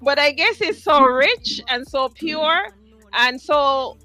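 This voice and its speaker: A woman's voice: high-pitched, expressive, slow, bright, forceful tone, low-quality recording. A woman hosting a music interview show, talking to a live audience in an effusive, encouraging way and repeating phrases for emphasis. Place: music studio.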